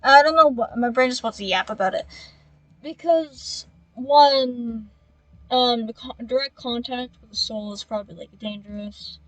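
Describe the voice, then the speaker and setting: A woman streaming a game with casual commentary, reacting and rambling in a halting way. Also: on the high side, fairly bright tone, no room sound, low-quality recording.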